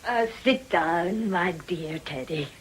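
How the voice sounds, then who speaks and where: Low-voiced worldly madam. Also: female voice, takes it slowly, background noise. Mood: gracious, solicitous. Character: discreet, worldly madam addressing clients as dear friends. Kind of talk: period radio drama acting.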